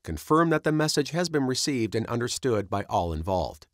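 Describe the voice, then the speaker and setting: A man reading scripted instructional narration as a training presenter, in a measured, instructive manner. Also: medium pitch, very animated, no echo, clean studio sound.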